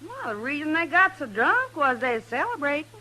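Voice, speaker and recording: An actress playing a stubborn, rough-spoken American frontier woman, folksy and defiant in an old-time radio western. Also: sits high, sing-song, normal speed, bright, forceful tone, full character voice. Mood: pleading, insistent.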